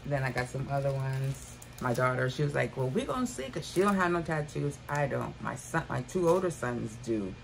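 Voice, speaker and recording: A woman's voice: rumbling low, lively intonation, normal speed, creaky, hardly any pauses, slight background noise. A woman in her fifties chatting casually to viewers from her bedroom about items she bought, calling them y'all. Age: 50s. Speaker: haul vlogger. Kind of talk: casual haul chat. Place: bedroom at home.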